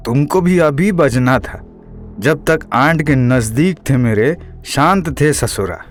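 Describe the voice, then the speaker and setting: A man's voice: medium pitch, expressive, slow, soft background music, pitched below the performer's own voice. A man voicing a comic, evasive police inspector, grumbling and hesitant, in an audio drama. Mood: cornered, defensive. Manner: hesitant, grumbling, stammering excuses. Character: shifty, cornered rustic male police inspector, comically evasive.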